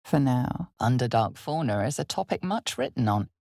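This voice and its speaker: A woman playing an ominous, knowing narrator of a dark fantasy game, speaking in a measured, theatrical way that turns hushed and breathy at times. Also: extremely low pitch, lively intonation, dry recording, clean studio sound, exaggerated voice. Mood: measured, intrigued.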